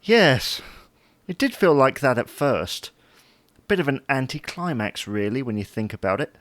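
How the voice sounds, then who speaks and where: A man's voice: mid-range voice, big pitch swings, moderate pace, a bit bright, no room sound. A man playing a dry, long-suffering husband who placates his wife in a domestic audio drama. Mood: wry, tired. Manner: wry, dryly placating. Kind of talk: domestic comedy-drama dialogue.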